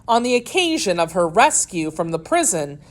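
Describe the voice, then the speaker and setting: A woman's voice: fairly low pitch, sing-song, normal speed. A woman voicing a young boy who narrates a puppet show in a storybook, earnest, dramatic and exclamatory. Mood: earnest announcing.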